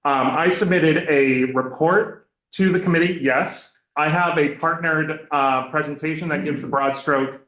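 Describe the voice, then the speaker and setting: A man speaking as a victim advocate before a legislative committee, loud and hesitant with many fillers. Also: a little high, fairly even intonation, measured pace. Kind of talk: committee testimony. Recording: echoey hearing room.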